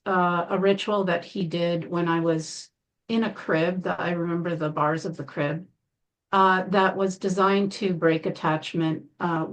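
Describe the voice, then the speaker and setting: A woman guest in an interview, telling her life story in a calm, measured way with frequent pauses. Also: on the low side, low-quality recording. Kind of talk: interview, personal account.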